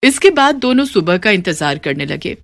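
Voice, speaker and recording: A woman's voice: on the low side, sing-song, normal speed, no room sound. A woman reading scripted narration for a children's moral story.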